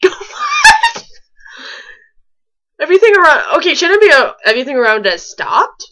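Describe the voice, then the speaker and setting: A woman's voice: very high-pitched, wildly swooping pitch, normal speed, noisy background. A woman reading fan fiction aloud and commenting on it casually. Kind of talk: storybook-style reading mixed with casual mocking commentary.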